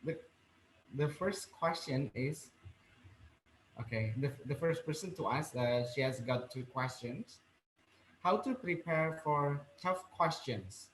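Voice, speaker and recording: A man moderating an online session, stumbling and repeating words as he reads out questions, his voice sitting a little high for a man. The sound is roomy and echoey, with a faint noise underneath. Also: slow. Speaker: webinar moderator. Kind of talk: hesitant bilingual moderating.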